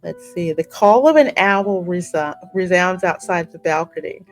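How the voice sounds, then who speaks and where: Lady doing game commentary, super expressive, kinda low voice, reads the story then chats playfully, bit of music behind. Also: normal speed. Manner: playful, colloquial reactions. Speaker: gaming video co-host reading game dialogue aloud. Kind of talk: game playthrough commentary, mixing read-aloud narration and casual chat.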